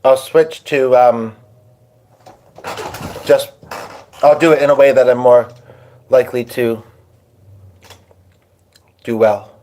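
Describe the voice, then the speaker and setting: A man's voice: mid-range voice, steady tone, slow, pauses often, mellow. Rambling man live-streaming from his piano. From Hungarian. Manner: rambling, talks to viewers while setting up. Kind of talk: casual live-stream chat. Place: at home by a piano. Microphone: phone.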